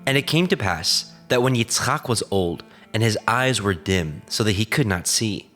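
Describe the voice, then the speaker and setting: A man narrating scripture in a solemn, formal and measured reading style. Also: fairly low pitch, expressive, fairly bright tone. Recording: music under the voice.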